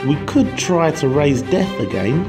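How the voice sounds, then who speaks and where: A man's voice: on the high side, very animated, unhurried. A man playing an anxious, questioning son in a comic-book drama, speaking tentatively. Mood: hesitant, questioning. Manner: hesitant, tentative. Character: anxious, questioning adult son of a domineering father. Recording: music behind the voice.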